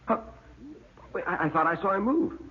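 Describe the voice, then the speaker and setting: A man playing an uneasy, politely hesitant journalist trying to sound calm, in classic radio horror style. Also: sits high, moderate pace, slight background noise, pitched above the performer's own voice. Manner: hesitant, stammering, politely understated. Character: uneasy male journalist bluffing calm in a waxworks. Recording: muffled narrow-band old radio recording.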